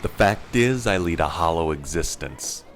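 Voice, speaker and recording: A man playing a deadpan, dying seawater drinker, telling absurd things matter-of-factly as if interviewed for a mockumentary. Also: low-pitched, normal speed, slight background noise. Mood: bleak deadpan. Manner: deadpan, matter-of-fact delivery of absurd lines.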